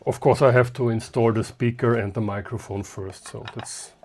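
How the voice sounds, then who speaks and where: A man giving an unscripted hands-on demonstration as a hobbyist, talking in short, halting phrases as he works, partly muttering to himself. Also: mid-range voice, steady tone, darkish tone. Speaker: hobbyist host of radio repair videos.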